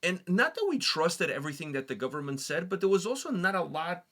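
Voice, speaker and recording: A man's voice: sits high, sing-song, brisk, fairly bright tone. A middle-aged man who grew up in Portugal, co-hosting a music reaction show in casual chat, talking at length with frequent restarts. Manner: talkative, explaining at length with restarts.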